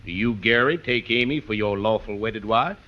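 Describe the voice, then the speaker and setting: An actor playing a frontier justice of the peace officiating in an old radio comedy, formal and deliberate. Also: male voice, fairly low pitch, natural voice. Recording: noisy, muffled old radio recording.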